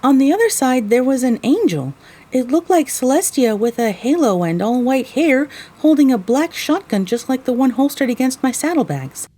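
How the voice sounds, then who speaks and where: Young mare heroine-narrator, female actor: weary, wry, lively intonation, soft husky tone, audio drama storytelling. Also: high voice, moderate pace.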